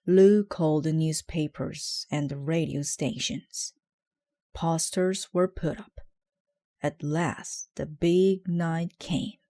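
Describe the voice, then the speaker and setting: A woman's voice: deep voice, steady tone, slow, mellow, dry recording. A woman reading a children's story aloud as narrator. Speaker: storybook reader.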